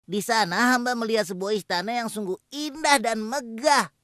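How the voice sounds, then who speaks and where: A woman's voice: a little high, moderate pace, fairly bright tone, no echo, natural voice. A woman voicing a humble hoopoe bird. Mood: reporting. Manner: humble, apologetic, deferential. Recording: dry with faint music.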